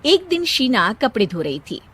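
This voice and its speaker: A woman narrating a cartoon moral story in a calm, measured way. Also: fairly high pitch, husky. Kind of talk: scripted narration.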